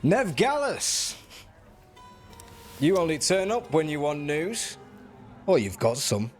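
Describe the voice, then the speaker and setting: A man's voice: fairly high pitch, sing-song, a little slow, a little mellow, faint music behind. A man playing a sardonic, grumbling resistance contact in a fantasy video game. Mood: sardonic, wry.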